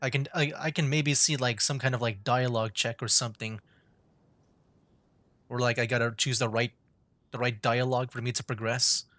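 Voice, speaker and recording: A man giving casual game commentary as a streamer, thinking aloud in a halting, musing way. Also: mid-range voice, fairly even intonation, fairly bright tone, dry recording. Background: game music.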